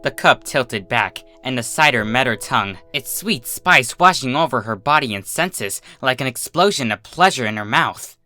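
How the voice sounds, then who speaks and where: A man's voice: medium pitch, lively intonation, normal speed, hardly any pauses, pushed and bright, soft background music. A man reading scripted story narration as a fiction narrator.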